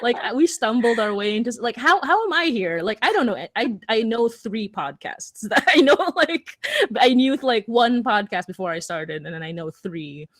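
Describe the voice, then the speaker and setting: A woman's voice: on the high side, lively intonation, normal speed, hardly any pauses, fairly bright tone, no room sound. A woman from the Philippines speaking casually and informally as a podcaster on a panel, with a self-deprecating way of talking. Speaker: audio drama podcaster and panelist.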